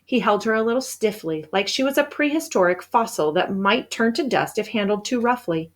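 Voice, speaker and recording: Female read-aloud narrator for a children's novel; mid-pitched, expressive storyteller delivering scripted first-person prose. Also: fairly quick. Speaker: storybook reader.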